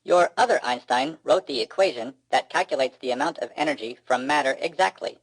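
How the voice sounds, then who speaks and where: A man's voice: mid-range voice, brisk, dry recording, natural voice, rough recording. Man putting on a pedantic, stiff-voiced robot. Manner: pedantic, clipped recitation. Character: precise, literal-minded, exasperated know-it-all robot.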